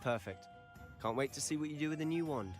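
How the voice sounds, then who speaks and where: A man's voice: on the high side, lively intonation, fast talker, over music, lightly acted. A young man playing a confident, friendly student wizard guide in a fantasy video game, breezy and playfully formal.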